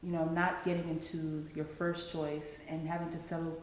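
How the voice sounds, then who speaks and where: A woman hosts an interview with upbeat, encouraging questions. Her voice sits a little low. The recording carries the echo of the room. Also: level tone, normal speed, slight background noise. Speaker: interview host. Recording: echoey room.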